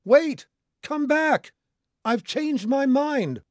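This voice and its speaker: A man acts out a male castaway pilot in an audiobook, desperate and full of panic. The delivery is urgent and emphatic, crying out with wide swings of pitch. The sound is close and dry. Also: very animated, unhurried, a little mellow, no room sound. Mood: hysterical pleading.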